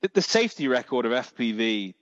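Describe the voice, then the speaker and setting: A man's voice: medium pitch, sing-song, a little fast, no echo, in a large hall, muffled recording. A man with a Dorset accent telling stories in a casual chat, rambling with many restarts and the odd swear word. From England.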